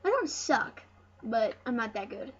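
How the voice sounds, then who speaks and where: A high-voiced speaker giving casual let's play commentary as host of a retro gaming series, rambling and often restarting phrases. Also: sing-song, measured pace. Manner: rambling, repeats and corrects phrases. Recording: muffled, noisy home recording.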